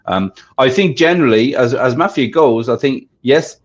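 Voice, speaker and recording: A man's voice: medium pitch, lively intonation, normal speed. A man hosting a casual discussion, chatty, often checking for agreement. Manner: chatty, asks for agreement with "right?". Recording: dull lo-fi audio.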